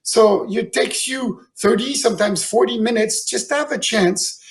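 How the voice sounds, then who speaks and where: A man from Switzerland speaking as a guest winemaker, telling stories informally and haltingly, often repeating words. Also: very high-pitched. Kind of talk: informal storytelling in an online round table.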